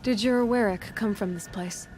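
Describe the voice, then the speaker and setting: An actress playing a curious, dry-spoken young huntress in a video game. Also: female voice, mid-range voice, moderate pace, noisy background, lightly acted. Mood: questioning.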